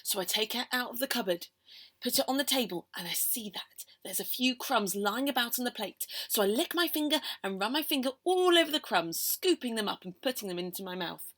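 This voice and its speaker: A woman performs a poem for children as a storyteller. Her voice is fairly high and very lively, swinging widely in pitch. The delivery is theatrical and expressive. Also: fairly quick. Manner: theatrical, expressive, with hushed whispers and exclamations. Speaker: storyteller reading a poem aloud.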